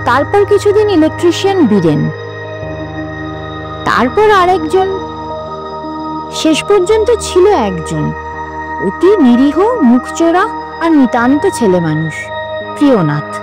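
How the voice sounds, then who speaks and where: A woman reading literary fiction as scripted narration, with an expressive, dramatic storytelling delivery. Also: high-pitched, slow pace, long pauses, over music. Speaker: story reader.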